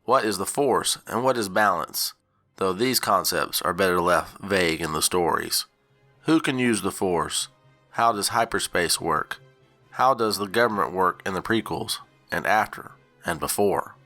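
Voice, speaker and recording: A man reading a scripted announcement, setting out group rules in a measured way like a charter. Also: deep voice, sharp tone, soft background music. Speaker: channel co-founder.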